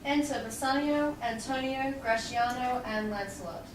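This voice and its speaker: A woman's voice: a little high, moderate pace. A woman reading out scripted stage directions for a play. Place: small theatre, far audience camera. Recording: very echoey stage, distant, loud noise.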